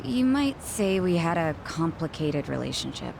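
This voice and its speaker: A woman's voice: deep voice, sing-song, normal speed, creaky, background noise, heavily acted. A woman playing a blunt, guarded young cabaret club bartender in an English video game dub, frank and curt. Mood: frank, explanatory.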